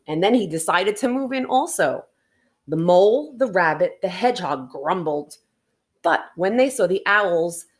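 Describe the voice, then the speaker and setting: Animated woman reading a storybook aloud. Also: fairly low pitch. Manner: expressive, drawn-out emphasis, gasps and asks listeners questions. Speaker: teacher reading a picture book to children. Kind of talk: animated children's storybook read-aloud with chatty asides.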